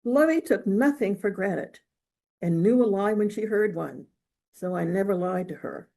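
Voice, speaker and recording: Woman, gravelly creak, soft dark tone, measured expressive novel reading. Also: mid-range voice. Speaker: author reading from own novel. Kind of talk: audiobook-style literary reading.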